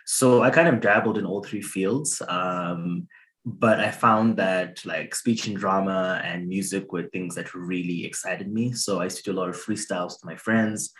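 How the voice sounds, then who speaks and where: Low-voiced man, easygoing guest. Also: normal speed, fairly bright tone. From Zimbabwe. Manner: easygoing, hesitant with many fillers. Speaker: guest, hip hop artist. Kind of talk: casual interview answers.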